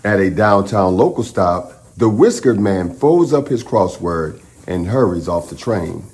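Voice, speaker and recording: A man hosting a children's storytime, speaking to young listeners in an encouraging, expressive way as he chats and reads aloud. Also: deep voice, measured pace. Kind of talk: children's read-aloud and upbeat chat to young viewers.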